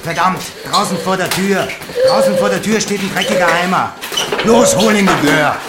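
A man playing a gruff, bullying man in a wartime audio drama, barking curses and orders. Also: high voice, moderate pace, lightly acted. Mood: panicked, furious. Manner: barking, cursing, commanding. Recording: very noisy, echoey room recording.

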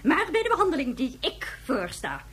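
A woman playing an imperious high official in a radio drama, commanding, insistent and exclamatory. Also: wildly swooping pitch, moderate pace, a bit bright, full character voice. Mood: defensive, insistent.

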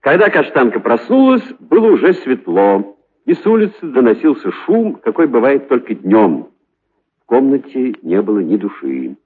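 Husky, expressive male storyteller, thin sound. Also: mid-range voice. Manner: dramatic storytelling with deliberate emphasis. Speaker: audiobook narrator. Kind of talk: expressive narration of a children's story. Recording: thin, narrow-band phone-like sound.

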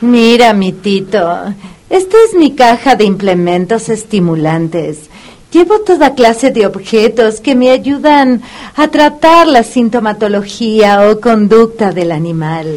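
A sultry Caribbean dog whisperer in a radio comedy. She speaks slowly in a fairly high voice, pompous and clinical, coaxing as if every word were expert advice. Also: animated, a little mellow. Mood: professional. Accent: Caribbean Spanish. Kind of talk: comedic radio play acting.

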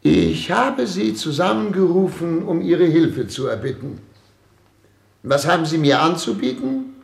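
An actor playing a sleepless, anxious head of state in a German radio play, theatrical, agitated and imperious. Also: male voice, fairly high pitch, animated, measured pace, vocal fry, roomy sound. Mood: anguished, brooding.

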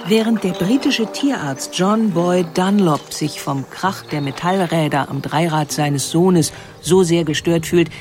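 A woman narrating a scripted historical story in a measured, articulate way. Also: lowish voice, darkish tone, slight background noise. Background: music under the voice.

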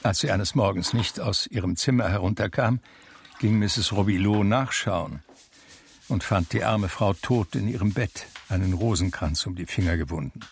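An actor playing a blind, reflective man who narrates his life in a radio drama, deliberate and expressive in his telling. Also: fairly low pitch, gravelly, slight background noise. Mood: measured, matter-of-fact.

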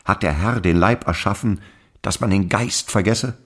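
An actor playing a grave religious prophet, reciting archaic sacred lines in an incantatory, husky voice for an audio drama. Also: male voice, lowish voice, moderate pace, fairly bright tone. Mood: grave, prophetic.

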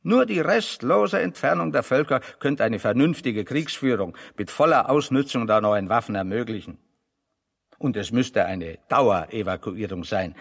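A man acting in a German radio play as a pedantic, ironic intellectual, speaking deliberately and mock-seriously. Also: mid-range voice, vocal fry, in a large hall. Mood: solemnly ironic.

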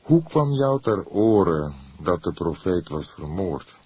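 Man as a slow, deep-voiced, probing detective. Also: big pitch swings, a little mellow, husky, no room sound, full character voice. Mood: calm, probing. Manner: measured, deliberate questioning. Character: calm, probing older male police detective. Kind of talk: acted audiobook dialogue.

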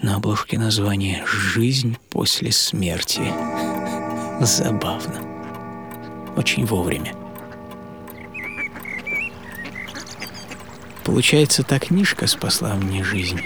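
An actor playing a melancholy, bitter banker and mystic writer, telling his life in a theatrical radio monologue with dramatic pauses. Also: fairly low pitch. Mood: wry, reflective. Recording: loud music under the voice.

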